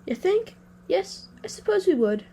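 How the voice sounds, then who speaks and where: A woman's voice: very high voice, very animated, measured pace, mellow, full character voice. A woman playing an anxious, bookish young boy in a family audio drama, hesitant and meek. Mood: nervous, hesitant. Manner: hesitant, meek, self-deprecating.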